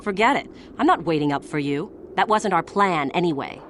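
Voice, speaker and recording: An actress playing a haughty, treacherous young Sith student in a video game, with a curt, condescending manner. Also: female voice, lowish voice, animated, fairly quick, background noise, lightly acted. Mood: defiant, threatening. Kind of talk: video game villain acting.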